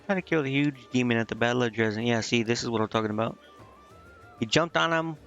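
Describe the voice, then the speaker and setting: A man's voice: mid-range voice, fast talker, a bit bright, dull audio, faint music behind. A man giving casual, off-the-cuff gameplay commentary as a streamer, slangy and irreverent. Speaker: video game streamer.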